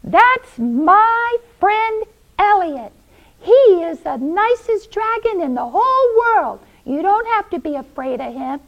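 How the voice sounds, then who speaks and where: An earnest, loyal little boy from a children's tale, played by a woman storyteller. The voice is very high and animated, pleading in slow, drawn-out phrases. The recording is a bit dull. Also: heavily acted. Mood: proud. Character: young boy, earnest, pleading, loyal to his dragon friend.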